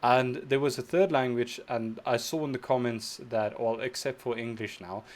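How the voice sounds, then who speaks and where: Young male reaction host, gushing and enthusiastic, average pitch. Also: fairly even intonation, normal speed. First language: Afrikaans. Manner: effusive, enthusiastic, thinks aloud with restarts. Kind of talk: casual reaction commentary.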